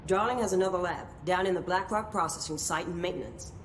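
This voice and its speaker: An actress playing a brisk, commanding woman scientist in a game. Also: lowish voice, slight background noise, close to an everyday voice. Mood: firm. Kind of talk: game voice acting.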